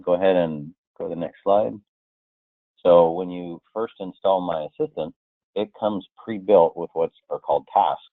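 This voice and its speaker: A man presenting a software training webinar, explaining slide by slide in a conversational way with hypothetical examples. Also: deep voice, no room sound. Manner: explanatory, illustrates with hypothetical quotes. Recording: muffled, tinny phone-like line.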